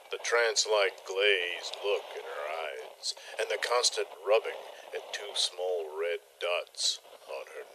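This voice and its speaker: A man narrating a mock-gothic comedy tale in a measured, dramatic storytelling style. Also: low voice, fairly bright tone, a little hiss or hum, rough recording.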